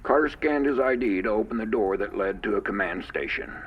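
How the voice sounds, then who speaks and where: Low-voiced man narrating a drama. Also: expressive, a little fast, breathy edge, highs cut off. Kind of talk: scripted narration.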